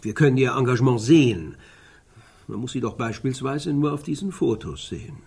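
Very husky, solemn analyst. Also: male voice, medium pitch, a little mellow. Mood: assertive. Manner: deliberate, emphatic, repeats himself. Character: solemn male analyst dissecting a woman's life, insistent. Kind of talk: German radio play, stylised dialogue.

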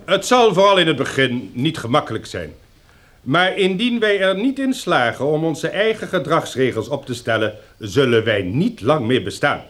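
A stern, self-important male leader in a radio play. His voice sits a little high and swings widely as he lays down the rules. The delivery is formal, measured and emphatic, like a public address. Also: very animated, a bit bright. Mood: solemn, commanding. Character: stern, pompous male leader lecturing survivors on new rules. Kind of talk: formal speech in Dutch radio drama.

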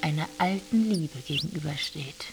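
An actress playing an observant storyteller of a troubled love affair in literary radio drama narration. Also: female voice, deep voice, moderate pace, creaky, poor audio. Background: music.

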